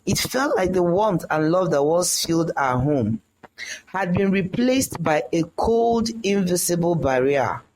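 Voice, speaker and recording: A storyteller reading a scripted first-person tale in a dramatic, deliberate way. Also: medium pitch, expressive, a little mellow, slight background noise, poor audio.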